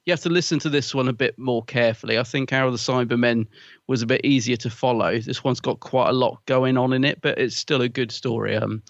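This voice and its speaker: A man talks through his week in a loose, rambling podcast chat, full of fillers and quick laughs. His voice sits mid-range and comes across a little muffled. The recording is close and dry. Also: mid-range voice, fairly even intonation, no echo. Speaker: podcast co-host. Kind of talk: casual chat.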